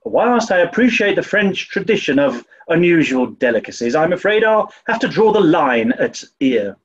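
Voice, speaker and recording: A pompous, tetchy English toff, played by a man, speaks with very lively, swooping intonation. The manner is stuffy and slow-witted radio comedy, on a slightly muffled microphone. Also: a little high. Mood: polite, pompous. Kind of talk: 1940s radio comedy acting.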